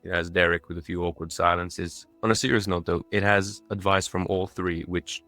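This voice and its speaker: A man's voice: sits low, steady tone, moderate pace, pushed and bright, no room sound, soft background music. A man in his twenties, raised in Dubai, delivering a scripted personal narration in a lightly self-mocking, tongue-in-cheek manner. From born and raised in Dubai in a Croatian family, moved to England as a child. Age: 20s. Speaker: video creator.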